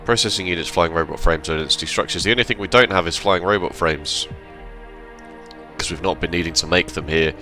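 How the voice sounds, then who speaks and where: Very low, bright-toned male gameplay host, slightly hoarse, casual and rambling, soft music behind. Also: fairly quick. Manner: relaxed, rambling with self-corrections. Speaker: let's play host.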